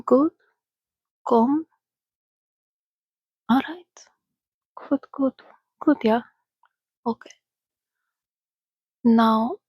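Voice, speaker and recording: A woman speaking in a hushed, soothing, often whispered ASMR style, as if calming a companion. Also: a little high, slightly rough, dry recording, muffled recording. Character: calm, sociable female vampire soothing a companion. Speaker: ASMR host. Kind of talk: soft-spoken ASMR reading and roleplay.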